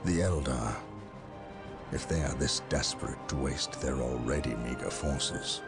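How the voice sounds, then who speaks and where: A deep, resolute marine captain. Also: male voice, measured pace. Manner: firm, declamatory. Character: resolute male space marine captain, dutiful and defiant. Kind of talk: game cutscene voice acting. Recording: game audio over loud music.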